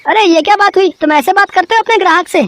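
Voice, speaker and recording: A woman voicing a naive, eager, excitable young male customer in a cartoon moral story, earnest and chatty. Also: very high voice, fast talker, no echo. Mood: indignant. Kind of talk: acted Hindi moral-story cartoon dialogue.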